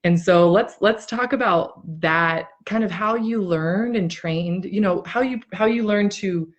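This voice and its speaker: A woman's voice: medium pitch, unhurried, muffled recording. A woman hosting a casual live interview, speaking earnestly in long, meandering sentences. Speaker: host of a live interview show. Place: remote online live video call.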